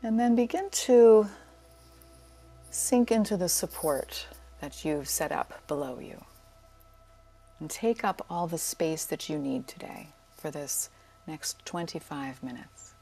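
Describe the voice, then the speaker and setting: A woman teaching yoga nidra, leading a guided relaxation in a soothing, unhurried, gently inviting way. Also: low-pitched, lively intonation, slightly rough, soft background music. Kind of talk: guided meditation.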